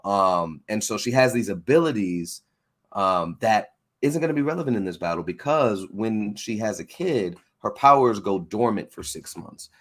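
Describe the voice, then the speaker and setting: A man hosting a show, chatting casually in an informal, halting way as he thinks out loud. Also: on the low side, expressive. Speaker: host of a comics breakdown show. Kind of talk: casual interview chat.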